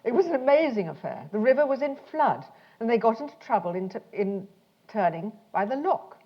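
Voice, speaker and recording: A woman's voice: on the high side, sing-song, brisk. A woman reading an old family letter aloud. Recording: dull, muffled talk recording.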